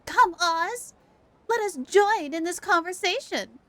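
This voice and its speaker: Woman putting on a high, grandiose young princess. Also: animated, slow, fairly bright tone, no room sound, exaggerated voice.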